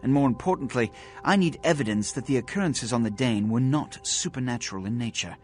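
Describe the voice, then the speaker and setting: An actor playing a haughty, aristocratic vampire prince in a video game, formal, pompous and condescending, coldly scheming. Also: male voice, mid-range voice, a little fast, a little mellow, close to an everyday voice. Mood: measured, businesslike. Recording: music behind the voice.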